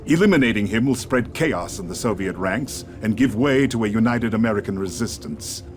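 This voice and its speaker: A composed resistance strategist from a video game, acted by a man. His voice is on the low side, formal and measured, with music playing behind it. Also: expressive, darkish tone, close to an everyday voice. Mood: composed approval. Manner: formal, measured, clipped phrasing. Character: composed, scheming male resistance intelligence adviser. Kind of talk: video game cutscene acting.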